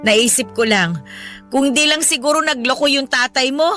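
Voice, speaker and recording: A proud, hardworking Filipino mother in a radio drama. Her voice is high and bright. She speaks in an effusive, motherly way, humble and full of feeling, over soft background music. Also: normal speed. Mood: wistful, bitter.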